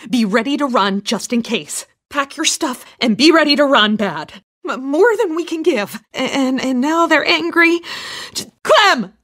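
A woman's voice: sits high, lively intonation, a little slow, a bit bright, no echo, studio quality. A woman voicing a kindly, apologetic survivor camp gatekeeper in a video game, with a folksy, motherly, hesitant manner. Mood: panicked, urgent. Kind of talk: dramatic video game dialogue.

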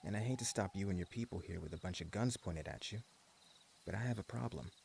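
A man's voice: low-pitched, fast talker, vocal fry, darkish tone, slight background noise. A man voicing a menacing post-apocalypse gang leader in an audio roleplay, speaking in a taunting, mock-friendly drawl. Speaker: voice actor.

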